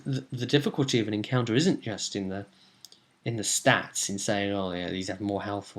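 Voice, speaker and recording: A man, a game master telling the story of a role-play session in a casual, animated way. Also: fairly low pitch. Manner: animated storytelling, acting out players' reactions. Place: small room at home, indoors.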